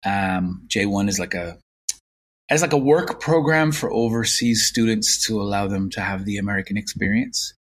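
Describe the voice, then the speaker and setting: A man in his fifties with a Limerick Irish accent, recalling his past as an interview guest in an unhurried, reflective way. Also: on the low side. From Ireland. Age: 50s. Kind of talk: casual interview.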